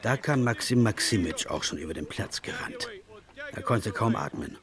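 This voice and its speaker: Low-pitched, composed male storyteller. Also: wildly swooping pitch, moderate pace, vocal fry, a crowd in the background, full character voice. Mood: reflective, measured. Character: reflective, observant travelling narrator, composed. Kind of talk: scripted literary narration in radio drama.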